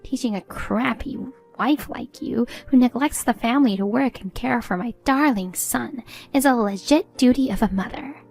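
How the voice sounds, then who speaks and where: A woman's voice: on the high side, normal speed, gravelly, hardly any pauses, darkish tone, soft background music, pitched above the performer's own voice. A woman voicing a haughty, meddling middle-aged mother-in-law in a story, agitated and indignant. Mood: scornful.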